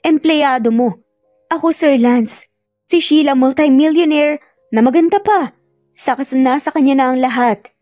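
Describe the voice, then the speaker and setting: A woman's voice: high-pitched, unhurried, long pauses, a little mellow, no room sound, studio quality, faint music behind. A woman voicing a hurt, guarded young ex-girlfriend who speaks with wounded reproach in a romance story.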